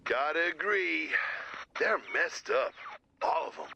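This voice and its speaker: A man's voice: fairly high pitch, slow pace, creaky, bright, forceful tone, dull audio, slight background noise, heavily acted. A man acting as a dry, skeptical band musician in a video game, wry and matter-of-fact. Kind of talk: video game character dialogue.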